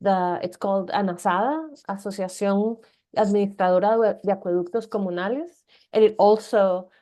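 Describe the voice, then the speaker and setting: A woman with a Costa Rican Spanish accent, explaining her points in a measured, reflective way as an academic panelist. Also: medium pitch, fairly even intonation, warm tone. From Costa Rica.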